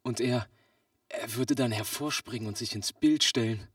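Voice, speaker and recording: A man playing a naive, excitable customer in a comic audio play, wide-eyed, puzzled and easily astonished. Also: medium pitch, normal speed, no room sound, clean studio sound, distant mic, lightly acted. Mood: alarmed.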